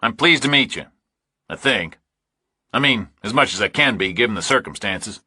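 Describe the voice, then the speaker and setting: A man's voice: on the low side, expressive, normal speed, pushed and bright, husky, no echo, clean studio sound, pitched above the performer's own voice, exaggerated voice. A man voicing a menacing ex-military contractor in an audiobook thriller, with combative, clipped tough talk. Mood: wary. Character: hard, menacing male military contractor, ex-soldier.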